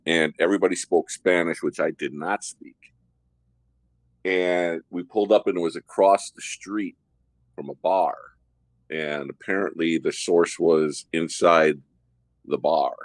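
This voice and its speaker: A man hosting a podcast, telling a detailed story about his undercover work in a steady way. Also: low voice, measured pace, no room sound. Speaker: host, former undercover officer.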